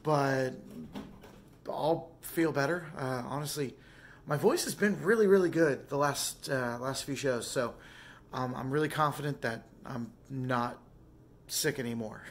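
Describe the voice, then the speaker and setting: A hoarse, tired man in his thirties talking casually to a handheld camera in a small home studio, rambling with fillers. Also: medium pitch, measured pace. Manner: rambling, easygoing, soft-spoken with fillers. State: hoarse and tired after a show. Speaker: vlogger and singer. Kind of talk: casual vlog talk to camera. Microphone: phone camera at arm's length.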